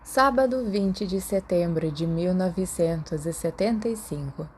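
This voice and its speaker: A woman's voice: fairly low pitch, measured pace, a little mellow, a little hiss or hum. A woman with a Brazilian accent reading a story as a scripted audiobook narrator. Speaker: podfic narrator.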